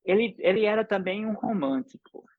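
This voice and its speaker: A man's voice: sits high, lively intonation, moderate pace, dry recording. A man in his seventies, a polyglot, chatting casually over a video call and telling stories with playful wordplay. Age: 70s. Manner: storytelling, playful with wordplay jokes. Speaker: polyglot language exchange partner. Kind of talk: casual multilingual conversation. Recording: dull lo-fi sound.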